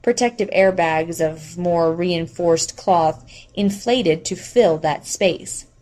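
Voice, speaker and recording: A woman's voice: on the low side, slow pace, darkish tone. A woman reading scripted narration of a novel as an audiobook narrator.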